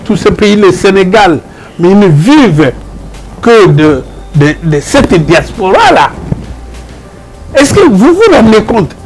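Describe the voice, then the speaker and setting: A man with a Congolese French accent speaking as a professor in an interview, arguing emphatically and deliberately, repeating phrases for emphasis. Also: high-pitched, a little mellow, poor audio. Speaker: professor, guest and spokesperson. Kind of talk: earnest interview argument.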